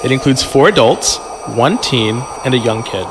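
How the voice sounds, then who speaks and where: A man's voice: medium pitch, expressive, normal speed, long reverb tail, background noise. A man chatting and reading a story aloud casually as a podcast guest, joking and digressing into asides. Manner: joking, digressive, repeats himself.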